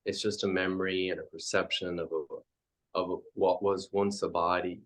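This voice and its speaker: A man leading a guided meditation as a teacher, speaking in halting, reflective phrases with frequent pauses. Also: low voice, low-quality recording.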